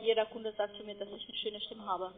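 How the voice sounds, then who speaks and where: A woman's voice: medium pitch, flat delivery, brisk. A woman working as a call-centre agent, coaxing and chatty on a phone sales call. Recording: tinny, narrow-band phone line.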